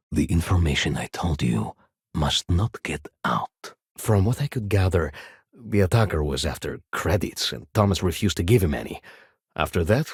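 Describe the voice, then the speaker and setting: A man voicing a wary, fussy luxury goods merchant in a video game, with husky, polite and formal speech. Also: deep voice, dry recording, clean studio sound, full character voice. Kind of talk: game character dialogue lines.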